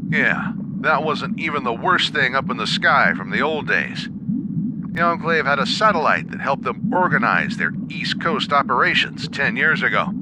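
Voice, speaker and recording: A man's voice: mid-range voice, very animated, normal speed, very noisy, close to an everyday voice. A man playing a wry veteran wasteland soldier, telling war stories conversationally as the storyteller of an audio drama. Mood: wry storytelling. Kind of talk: in-character storytelling over radio. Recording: battle sound effects behind the voice.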